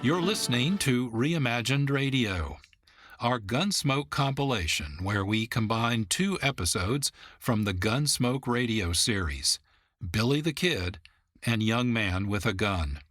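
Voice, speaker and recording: Man, mid-pitched, scripted radio host narration, dry studio sound. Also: lively intonation, measured pace, a bit bright, no echo, faint music behind.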